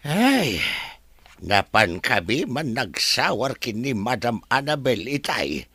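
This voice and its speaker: A man's voice: medium pitch, sing-song, slow pace, bright, forceful tone, husky, dry recording, heavily acted. A Filipino man playing a meek, flustered farm worker in a comedy radio drama, hesitant and grumbling. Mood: exasperated. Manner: hesitant, stammering deference.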